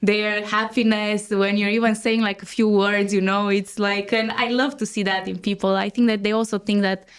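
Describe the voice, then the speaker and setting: A woman guest chatting casually in an interview, laughing often and pausing with fillers. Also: medium pitch, flat delivery, clear, resonant tone.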